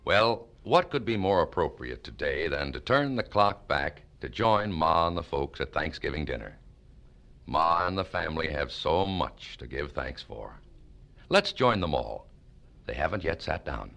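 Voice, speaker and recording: A man's voice: a bass voice, sing-song, unhurried, gravelly, fairly bright tone. A man announcing a radio serial in a scripted vintage style, with music behind. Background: faint music.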